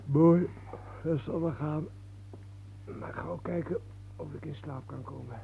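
A man's voice: fairly high pitch, fairly even intonation, a little slow, creaky, warm tone, slight background noise, rough recording. An actor playing a down-to-earth, curious male travel companion in a Dutch radio play, blunt and colloquial. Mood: weary, bemused.

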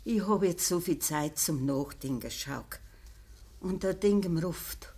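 Husky, slow-spoken old Bavarian farmer's wife. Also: female voice, on the low side, mellow. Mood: sorrowful. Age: older. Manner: slow, plain rural dialect speech. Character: ailing old farmer's wife, gentle, resigned, pleading mother. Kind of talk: naturalistic radio drama acting.

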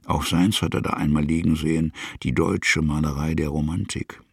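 A man narrating a radio drama in a gravelly, husky voice, musing in short phrases. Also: a bass voice, fairly even intonation, moderate pace, no room sound, clean studio sound, exaggerated voice.

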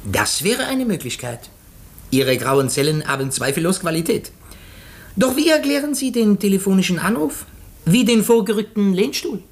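An actor playing a shrewd, self-assured foreign detective in a theatrical, didactic manner, in 1950s German radio drama style. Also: male voice, high voice, sing-song, normal speed, husky, heavily acted. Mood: confident, probing. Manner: theatrical, didactic, sprinkles in French exclamations.

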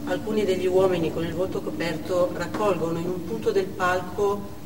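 Actress playing a shaken female witness, theatrical storytelling, deliberate pauses, far-off echoing hall, background noise. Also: lowish voice, steady tone, natural voice. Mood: tense, dread. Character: shaken woman survivor recounting a theatre hostage siege. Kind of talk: theatrical monologue, first-person narration on stage. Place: theatre stage, distant microphone.

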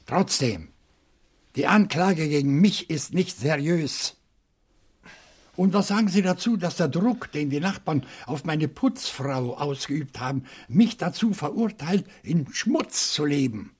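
A man's voice: fairly high pitch, animated, a little slow, darkish tone, breathy edge. An actor playing a dignified, wary professor in his seventies, speaking formal, articulate German radio-drama lines. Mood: indignant, dignified. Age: 70s. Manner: articulate, formal, indignant pride. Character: dignified, wary seventy-year-old French professor living among books.

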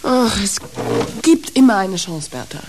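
An actress in a radio drama playing a lovelorn woman who clings to hope, pleading in breathy repetitions. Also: mid-range voice, animated, unhurried, darkish tone, slight background noise, natural voice. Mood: yearning, hopeful. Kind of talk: theatrical radio drama acting.

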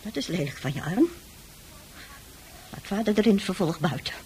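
A woman playing a middle-aged, nagging mother in a radio play, with a husky voice and a hesitant, reproachful manner. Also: mid-range voice, animated, normal speed, darkish tone, a little hiss or hum, lightly acted. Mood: hurt, stern. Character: worried, weary, nagging mother of a teenage son.

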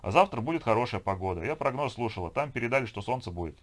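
A man voicing a bossy, lively young woman who talks in an insistent, chatty way. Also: medium pitch, rapid-fire, voice pushed up, natural voice. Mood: uneasy, chatty.

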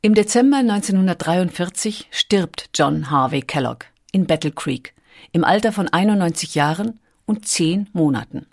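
A woman's voice: lowish voice, expressive, moderate pace, a little mellow. A woman reading scripted documentary narration as a narrator.

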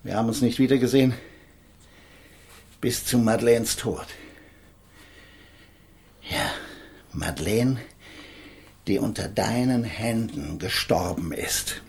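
An actor in a radio crime drama playing a middle-aged defence lawyer, an earnest and controlled father, speaking in a measured, formal way. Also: on the low side, expressive. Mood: grave, sorrowful.

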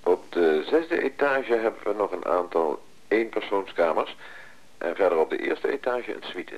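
An actor playing a polite, formal and obliging hotel receptionist in a radio play. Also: male voice, fairly low pitch, lively intonation, moderate pace.

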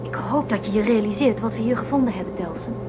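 A woman's voice: mid-range voice, quick pace, loud music behind the voice, close to an everyday voice. An actress playing a formal, decisive woman on a starship in a radio drama. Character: stern female crew leader, Sharna. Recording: dull, hissy old recording.

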